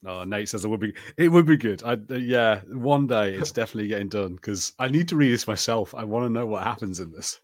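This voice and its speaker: A man co-hosting a podcast in casual watch-party chat, talkative and quick to laugh. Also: fairly low pitch, expressive, no echo, clean studio sound. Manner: chatty, laughs often. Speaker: podcast co-host.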